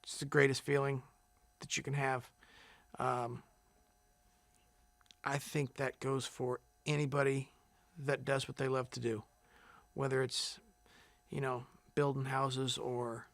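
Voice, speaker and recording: A man who sings as a contestant, answering interview questions in a relaxed, rambling way with many fillers and restarts. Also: mid-range voice, unhurried, creaky, no room sound. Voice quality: big loud singing voice. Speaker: singing contestant.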